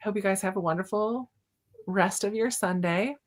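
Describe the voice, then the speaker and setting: A woman's voice: medium pitch, normal speed. A woman hosting a casual book discussion, talking in a chatty, informal way. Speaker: host of a book channel readalong.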